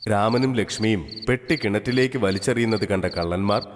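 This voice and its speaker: Low-voiced male storyteller with long reverb. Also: brisk, very noisy. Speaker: narrator. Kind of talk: scripted storytelling for children. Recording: long hall reverb, music bed.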